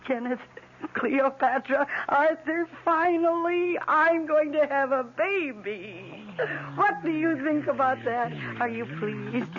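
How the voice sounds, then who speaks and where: A woman's voice: sits high, takes it slowly. A woman with an American accent playing a fragile, obsessive young housewife in a radio drama, with intense, dramatic delivery. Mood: elated, doting. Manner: intense, dramatic, breathy emphasis. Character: fragile, obsessive housewife confessing her killer plants' deed. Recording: dull, noisy old radio recording.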